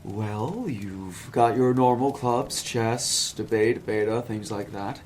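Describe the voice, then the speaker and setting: A man's voice: fairly low pitch, slow pace, a little mellow, a little hiss or hum. A man playing a fussy, evasive professor. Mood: nervous. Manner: polished, wordy and lecturing, with hesitant fillers. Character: smooth, fussy male college professor, nervous and evasive. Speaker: psychology professor.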